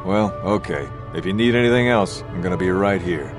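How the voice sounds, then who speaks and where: A man's voice: deep voice, expressive, fairly quick. A man playing a gossipy, self-justifying hotel keeper in a video game, sounding matter-of-fact and a little prejudiced. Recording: music behind the voice.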